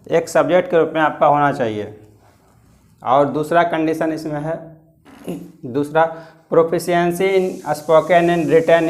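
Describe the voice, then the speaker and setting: Higher-pitched Indian man teaching. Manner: repeats and rephrases points, switching between Hindi and English. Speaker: coaching class teacher. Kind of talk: explanatory exam-guidance lesson.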